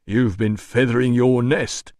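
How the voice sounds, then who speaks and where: A man voicing a burly veteran doorman in an audiobook, gruff, mocking and quietly menacing. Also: medium pitch, fairly even intonation, a little slow, no room sound, clean studio sound, close to an everyday voice. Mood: mocking menace. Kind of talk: audiobook character voice.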